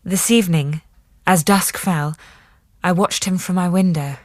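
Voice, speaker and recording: An actress playing an earnest, tender woman doctor in a radio drama, with a measured, reflective delivery. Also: lowish voice, dry recording, studio quality, natural voice. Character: earnest, tender woman doctor recalling events in her journal. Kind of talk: radio drama acting with reflective diary narration.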